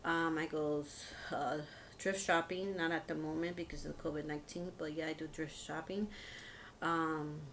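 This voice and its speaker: A woman's voice: low-pitched, steady tone, moderate pace, fairly bright tone, a little hiss or hum. A woman in her fifties chatting casually to her viewers as a video host, in a rambling, hesitant, informal way. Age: 50s. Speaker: video host of a home and shopping channel.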